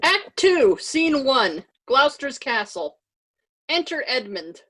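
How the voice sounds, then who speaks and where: Female stage-directions reader, unhurried, slightly high voice, formal scripted narration. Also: very animated, fairly bright tone, poor audio.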